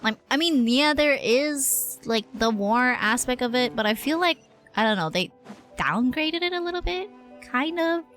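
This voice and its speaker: A woman's voice: sits high, expressive, moderate pace, a bit bright. A woman livestreamer giving casual, chatty, slangy commentary while she plays a game. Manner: chatty, slangy, lightly swearing. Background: game music and dialogue.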